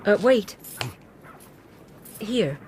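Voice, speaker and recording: A woman's voice: mid-range voice, lively intonation, very slow, a little mellow, a little hiss or hum. An actress playing a gracious, self-possessed, romantic lady with a persuasive manner in a radio drama. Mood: warm, grateful.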